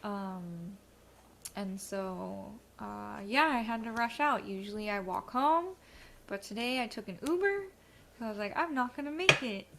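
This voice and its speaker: A woman talks slowly and hesitantly, full of ums and likes, as she rambles through her day. She sounds a bit stuffy from allergies, and faint background noise sits under her voice. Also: medium pitch, gravelly, fairly bright tone. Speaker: vlogcast co-host. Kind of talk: rambling casual chat.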